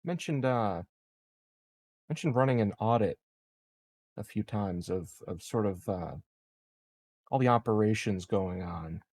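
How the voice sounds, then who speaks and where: A man playing a tabletop game who speaks calmly and deliberately, with some hesitation. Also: fairly low pitch. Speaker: player.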